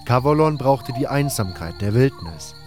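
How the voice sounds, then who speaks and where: A man's voice: mid-range voice, lively intonation, moderate pace, darkish tone. Man narrating a fantasy audio drama over music. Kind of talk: scripted first-person audio drama narration.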